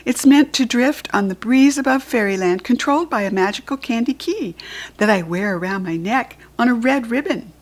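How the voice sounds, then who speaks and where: A cheerful little toy fairy chirps eagerly in a storybook reading, put on by a woman. Her voice rises higher and livelier than the narration around her. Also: expressive, moderate pace.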